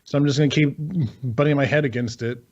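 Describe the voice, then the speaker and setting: A man's voice: mid-range voice, fairly even intonation, fast talker, lo-fi sound. A man chatting casually about video games as a podcast co-host, rambling, swearing freely and sounding incredulous. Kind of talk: casual video game chat.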